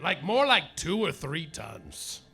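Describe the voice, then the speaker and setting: Guy doing a boozy noir gumshoe, high lively voice, gravelly and bright, talks slow. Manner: mock-noir deadpan, drawn-out punchlines. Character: boozy hard-boiled male private eye. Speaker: comedic detective narrator. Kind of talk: live stage parody of noir narration. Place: live theater stage. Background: live music and audience laughter.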